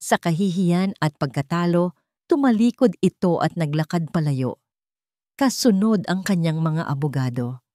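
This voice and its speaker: A woman's voice: lowish voice, lively intonation, normal speed, dark tone, dry recording, studio quality. A woman with a Filipino accent reading a dramatic romance story as its narrator.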